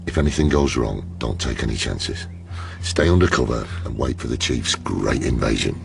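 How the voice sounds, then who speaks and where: Tough British police inspector, extremely low voice, gravelly, blunt and sweary, pragmatic, radio drama. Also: male voice, normal speed, music in the background. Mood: instructing. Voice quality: very deep, gravelly. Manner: blunt, sweary, matter-of-fact. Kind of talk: naturalistic radio drama acting.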